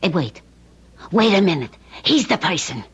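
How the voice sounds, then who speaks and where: An actress playing an old candy-store woman in old-time radio drama, halting and emphatic, with a cracked, folksy voice. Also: on the low side, very animated, breathy edge, exaggerated voice. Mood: hesitant, then excited. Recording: dull old radio recording.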